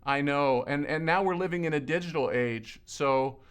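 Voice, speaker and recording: A man from Lebanon interviewing a colleague in an earnest, conversational way, often pausing and repeating words. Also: a little high, clean tone. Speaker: ministry leader interviewing a colleague.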